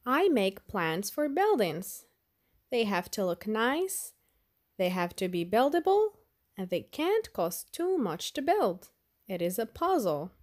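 A woman voicing a patient, knowing mother in a picture book, explaining in a measured way. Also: medium pitch, big pitch swings.

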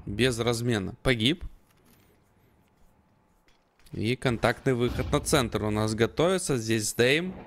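Analytical male esports caster, medium pitch. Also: slow, long pauses, a little hiss or hum. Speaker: esports match commentator. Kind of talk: live esports commentary and analysis.

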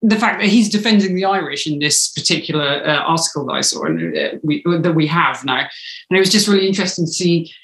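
A woman's voice: deep voice, sing-song, brisk, fairly bright tone. A woman, chatting as an interview guest on a video call. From United Kingdom. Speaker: interview guest, audio drama writer. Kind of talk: casual interview chat. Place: living room, indoors. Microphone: video call, computer mic at arm's length. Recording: echoey room.

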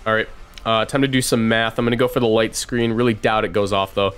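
A man's voice: on the low side, level tone, fast talker, smooth. Chatty young male streamer over music. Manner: chatty, thinks out loud. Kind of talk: casual live game commentary.

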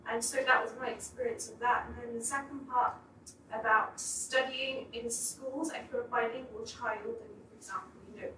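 A woman's voice: a little high, steady tone, normal speed, bright, forceful tone. A woman in the audience at a public talk, asking a long, reflective question. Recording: echoey room, distant mic, faint noise.

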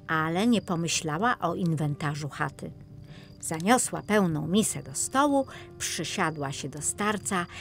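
A woman narrating a scripted children's fairy tale in a measured storytelling manner. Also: sits low, gravelly. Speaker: audiobook narrator.

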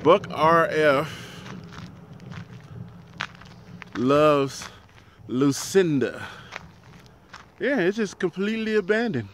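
Slow-talking man vlogging outdoors on a phone. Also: on the high side, lively intonation, fairly bright tone, a little hiss or hum. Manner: chatty, talks to viewers as "y'all", laughs easily. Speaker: truck driver vlogger. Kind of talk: casual handheld vlog. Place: outdoors in an empty desert town by a highway. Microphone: phone held at arm's length.

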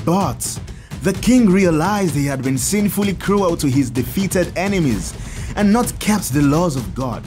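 An actor playing a kindly priest in an audio drama, telling saints' stories in a measured, expressive, instructive way. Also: male voice, fairly high pitch, faint music behind. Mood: earnest, explanatory. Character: kindly priest, Father Tad, teaching children about saints.

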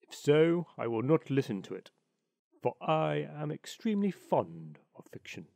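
A man's voice: on the high side, big pitch swings, measured pace, vocal fry, a little mellow, no room sound, studio quality, exaggerated voice. A man doing a pompous old water rat. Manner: haughty, self-satisfied.

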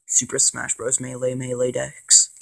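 A man with a cold and a congested voice, rambling casually with lots of ums and excited bursts as he plays and narrates a handheld game. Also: medium pitch, measured pace, gravelly. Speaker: gaming channel host. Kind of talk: casual let's play commentary.

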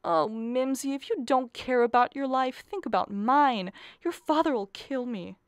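A woman's voice: high voice, measured pace, clean tone, no room sound, clean studio sound. A woman playing a nervous, harassed middle-aged mother of the bride in a radio play, pleading and exasperated.

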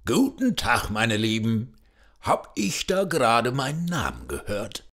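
A man playing a jovial, hearty pirate captain in a children's audio play, with a gravelly, husky voice. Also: mid-range voice, huge pitch swings, a little slow, a bit bright, full character voice.

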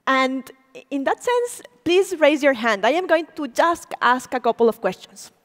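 A woman in her forties, a native Spanish speaker, giving an animated lecture on stage as a program director, engaging the audience with questions. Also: sits high, measured pace. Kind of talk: public lecture. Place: large auditorium stage. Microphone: stage mic, speaker walking. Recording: long reverb of a hall.

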